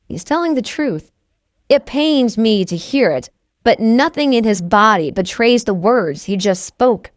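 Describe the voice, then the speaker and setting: A woman's voice: on the high side, animated, unhurried, no room sound. Dry, close-sounding woman narrating a novel. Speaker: audiobook narrator. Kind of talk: first-person scripted novel narration.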